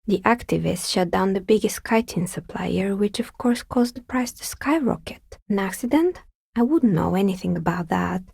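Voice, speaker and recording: Husky, dry-witted craftswoman. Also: mid-range voice, animated, moderate pace, dark tone, no room sound. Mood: matter-of-fact. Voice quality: husky, breathy with some creak. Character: wry, pragmatic woman craftsperson, a novelty dicemaker. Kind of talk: video game character dialogue.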